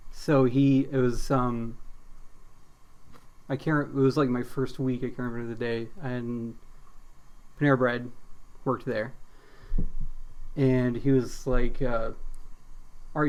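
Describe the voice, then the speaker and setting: A man with an average-pitched voice co-hosts a comedy podcast. He chats casually and jokes in a dry way. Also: little pitch movement, takes it slowly, pauses often, a little mellow, highs cut off.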